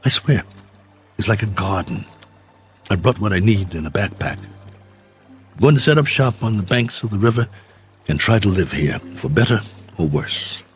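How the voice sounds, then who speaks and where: An actor playing a stranded space traveler, weary but hopeful, halting and musing in a radio drama. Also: male voice, on the low side, lively intonation, soft background music, lightly acted. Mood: wistful wonder. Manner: halting, musing, talks to himself.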